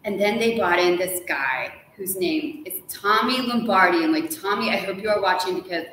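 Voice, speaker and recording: A middle-aged American woman talks in a lively, casual interview style, full of playful storytelling. Her mid-pitched voice rings heavily around a large room, with clear background noise. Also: moderate pace. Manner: animated storytelling, quoting people with playful asides. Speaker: author being interviewed. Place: large hotel sitting room, live stream. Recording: echoey room from a distance, noisy.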